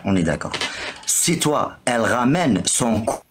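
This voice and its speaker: A man whose first language is Arabic, calling into a live debate in halting speech and checking "d'accord?" over and over. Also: mid-range voice, very animated, noisy background. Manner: halting, keeps asking "d'accord?". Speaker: caller.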